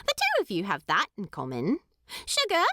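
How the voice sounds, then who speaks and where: A woman voicing a prim, teasing young hobbit lass in an audiobook, with a brisk, pert manner. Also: mid-range voice, huge pitch swings, bright, forceful tone, no echo, studio quality. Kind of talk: audiobook character voice.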